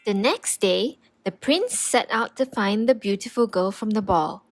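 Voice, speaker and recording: A woman narrating a children's storybook in an expressive read-aloud style. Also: medium pitch, unhurried.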